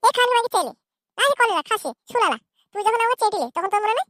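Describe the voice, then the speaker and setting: A woman's voice: extremely high pitch, lively intonation, very fast, fairly bright tone, dry recording, studio quality. A woman voicing a fickle, money-minded young girlfriend in a comedy cartoon, dismissive and snappish. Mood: angry, dismissive.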